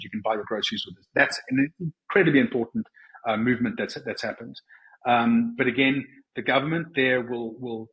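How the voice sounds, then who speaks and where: A man with a South African accent talks in a conversational interview style at a medium pitch. He explains his points earnestly and often restarts or rephrases mid-sentence. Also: poor audio. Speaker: podcast guest.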